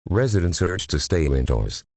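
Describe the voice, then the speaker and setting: A man's voice: low voice, very animated, brisk, darkish tone, no echo. A man reading a formal scripted emergency alert as an official announcer.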